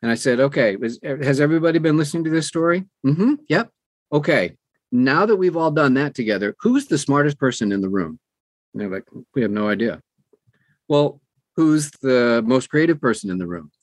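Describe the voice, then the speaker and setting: A man's voice: mid-range voice, expressive, a little fast, no room sound. An older man, an audiobook narrator, talking conversationally as an interview guest and telling anecdotes.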